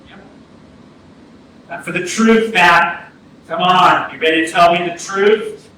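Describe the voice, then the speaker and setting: An actor playing a probing, insistent small-town police interrogator in a staged play reading. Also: male voice, sits high, slow pace, pushed and bright, exaggerated voice. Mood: pressing. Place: arts center stage. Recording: echoey hall, distant mic, faint hum.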